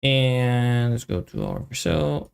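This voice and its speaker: A man doing casual live coding commentary as a developer, thinking aloud and muttering to himself in short, halting fragments. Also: mid-range voice, huge pitch swings, creaky, smooth. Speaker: software developer livestreaming.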